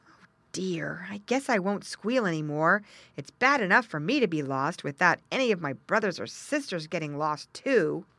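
A woman's voice: lowish voice, expressive, normal speed, fairly bright tone, no room sound. A woman voicing a young, plucky but worried little pig in a children's storybook. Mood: dejected.

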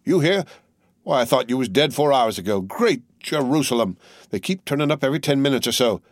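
A man voicing a gruff army corporal who talks bluntly in a folksy country dialect. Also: mid-range voice, animated, fairly quick, no room sound, clean studio sound, pitched above the performer's own voice, natural voice.

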